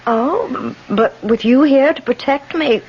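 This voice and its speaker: Nervous, courteous landlady, higher-pitched, halting delivery, radio drama, faint hiss. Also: animated. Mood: polite, uneasy. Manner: hesitant, nervous, polite. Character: anxious, polite landlady of a rooming house. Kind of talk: old-time radio drama acting. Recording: muffled, noisy radio broadcast recording.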